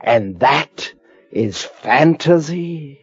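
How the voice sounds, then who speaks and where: An actor playing a solemn, grandiose narrator of an old radio drama, addressing mankind theatrically. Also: male voice, fairly high pitch, animated, drawn-out words. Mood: solemn, portentous. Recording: muffled old radio, loud music.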